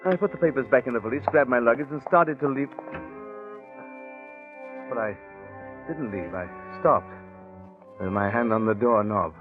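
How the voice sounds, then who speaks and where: A man's voice: mid-range voice, moderate pace, long pauses, natural voice. A man playing a scheming gambler who narrates his own crime in a wry, confiding way, in 1940s radio suspense style. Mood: tense, confiding. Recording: muffled 1940s radio broadcast with music.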